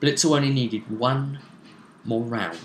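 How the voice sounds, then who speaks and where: A man's voice: mid-range voice, a little slow, a little hiss or hum. A man speaking as a game master, casually recapping a tabletop game. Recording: echoey room sound.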